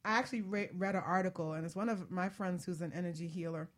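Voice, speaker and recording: A woman's voice: lowish voice, fairly even intonation, fast talker. Casual woman hosting a talk show. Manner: informal, joking, conversational. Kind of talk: casual chat.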